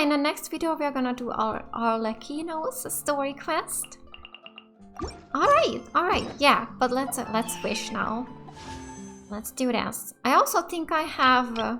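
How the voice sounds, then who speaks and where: A woman giving casual, excitable live commentary as a gaming host, often repeating short exclamations. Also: high-pitched. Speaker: gaming let's play host. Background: game music.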